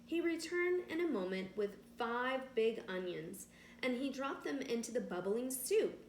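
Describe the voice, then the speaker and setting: Female read-aloud storyteller, unhurried and teacherly, expressive picture-book delivery, echoey room with faint noise. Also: fairly high pitch.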